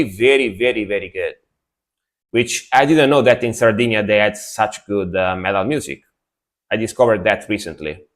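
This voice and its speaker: A man's voice: lowish voice, a bit bright. A man reacting to music in casual livestream chat, often repeating words for emphasis. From Italy.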